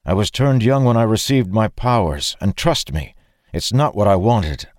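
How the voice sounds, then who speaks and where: An anguished, guilt-ridden young warrior, played by a male narrator, pleads earnestly in a fantasy audiobook. The voice is pitched well up from the narrator's own, with a dry, close sound. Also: lively intonation, normal speed, darkish tone, no echo, lightly acted. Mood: defensive.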